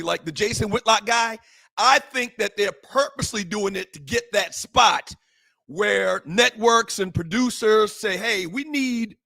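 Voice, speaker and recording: A man hosting a sports talk show, fervent and emphatic, repeating phrases and rising to shouts, his voice a little hoarse. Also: high voice, no room sound. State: slightly hoarse, voice worn from shouting. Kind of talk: impassioned sports talk monologue.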